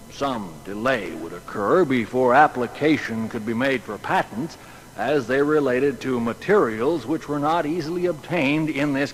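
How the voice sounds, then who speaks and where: A man delivering formal, measured scripted narration in a dramatised audio biography. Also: medium pitch, natural voice. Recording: reverberant hall sound.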